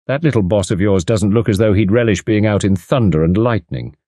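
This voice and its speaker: A man's voice: lowish voice, expressive, brisk, warm tone, no echo, studio quality. A man voicing a plain-spoken rural garage mechanic who talks in a vague, folksy way.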